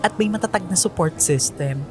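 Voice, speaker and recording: A woman's voice: on the low side, lively intonation, fairly quick, lightly acted. Actress as a bubbly, swooping young woman. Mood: gushing, proud. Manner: exclamatory, gushing, Taglish. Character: bubbly, gushing young woman, excitable best friend. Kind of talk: radio drama acting. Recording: music behind the voice.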